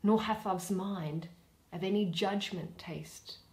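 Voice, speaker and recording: A young woman reading a play in verse aloud, dramatically and expressively, pausing between phrases. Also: mid-range voice, a little mellow. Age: young adult. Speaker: reader of a Shakespeare play. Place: small plain room indoors.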